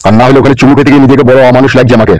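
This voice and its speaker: Man playing a wheedling, rapid-fire cartoon politician. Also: medium pitch, steady tone, background noise, natural voice. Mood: lecherous, coaxing. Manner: wheedling, crude. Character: lecherous, wheedling, corrupt male politician in a cartoon. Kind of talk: comedy cartoon dubbing.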